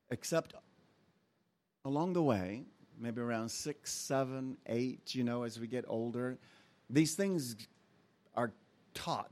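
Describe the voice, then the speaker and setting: Animated man preaching to a church. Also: mid-range voice. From Japan. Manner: animated storytelling with asides and laughs. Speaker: guest speaker. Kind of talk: sermon with scripture reading.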